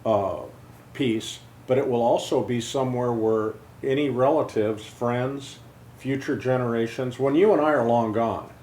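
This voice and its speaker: Interviewer, man, mid-pitched, measured, roomy sound with faint noise. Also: darkish tone. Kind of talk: interview questions.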